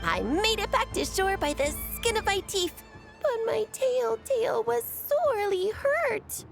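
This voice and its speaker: A very high, animated little fluffy creature from a video game, bubbling with mischief. The delivery is theatrical and exclamatory, swinging widely in pitch like a storyteller showing off. Clear music plays behind the voice. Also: female voice, full character voice. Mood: dramatic pleading. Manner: theatrical, exclamatory storytelling with giggles and drawn-out words.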